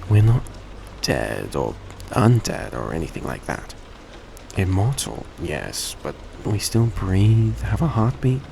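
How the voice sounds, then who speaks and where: A man acting as a gentle, courteous vampire speaks in a deep, slow voice with a gravelly creak. His phrasing is polite, formal and slightly hesitant, as in an intimate audio drama told straight to the listener. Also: full character voice. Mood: explaining, relaxed. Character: courteous, gentle male vampire repaying a debt. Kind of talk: intimate scripted audio roleplay addressed to the listener. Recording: steady background noise behind voice.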